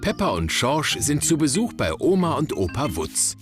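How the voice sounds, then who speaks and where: A man's voice: medium pitch, wildly swooping pitch, moderate pace. A man reading scripted narration for a children's cartoon, with music behind him.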